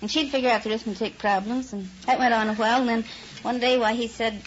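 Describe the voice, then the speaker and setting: A woman's voice: fairly high pitch, steady tone, quick pace. A woman, telling homely stories in an earnest, rambling way as a contestant. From American Midwest. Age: 50s. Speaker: quiz show contestant, housewife. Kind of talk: unscripted folksy storytelling in an interview. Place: radio studio stage before a live audience. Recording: muffled, noisy vintage radio recording with audience. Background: studio audience and noise.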